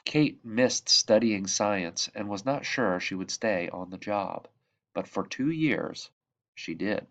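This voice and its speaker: A man reading a children's picture book aloud in measured phrases that drop softly at the ends of sentences. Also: on the low side, animated. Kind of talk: read-aloud storybook narration.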